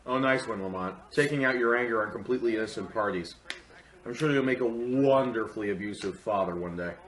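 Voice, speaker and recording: A man's voice: fairly low pitch, a little fast, a bit bright. A man giving a comedic film review with sarcastic, theatrical delivery. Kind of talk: comedic scripted review commentary. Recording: echoey room.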